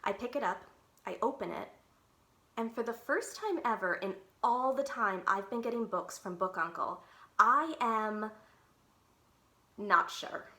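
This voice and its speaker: A woman reading a children's book aloud in an expressive, animated storytelling manner, with clear enunciation. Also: medium pitch, a little slow, pauses often.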